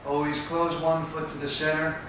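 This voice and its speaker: A man's voice: a little high, monotone. Patient man teaching from across an echoey room. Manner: plain, patient explaining with pauses. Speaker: tai chi instructor. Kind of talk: spoken instruction while demonstrating. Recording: echoey, distant with background noise.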